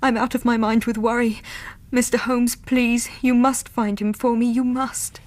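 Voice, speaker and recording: A woman's voice: fairly high pitch, level tone, a little slow, lightly acted. An actress playing a devoted, anxious young Victorian lady with an English accent, earnest and polite in a radio drama. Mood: distressed pleading. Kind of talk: period radio drama acting.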